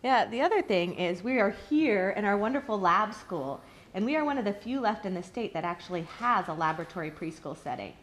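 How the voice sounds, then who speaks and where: A woman's voice: medium pitch, lively intonation, brisk, fairly bright tone. A woman speaking as an instructor, explaining a program in a promotional interview. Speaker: early childhood education instructor. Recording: faint background noise.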